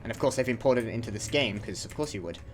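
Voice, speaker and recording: A man's voice: on the low side, expressive, fast, faint music behind. A young man giving casual, rambling commentary while playing a video game, often cutting himself off mid-sentence. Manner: rambling, chatty, self-interrupting. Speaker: let's play host.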